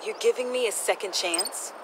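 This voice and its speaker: A woman's voice: medium pitch, normal speed, background noise, natural voice. An actress playing an earnest, contrite young woman seeking redemption in a video game drama. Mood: uncertain, hopeful.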